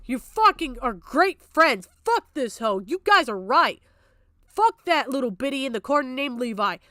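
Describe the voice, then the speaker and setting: A woman's voice: sits high, very animated, moderate pace, bright, forceful tone, no room sound, studio quality. A woman streamer giving heated off-the-cuff commentary, blunt and emphatic, swearing freely and repeating herself to make her point. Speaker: streamer reacting to a recorded stream.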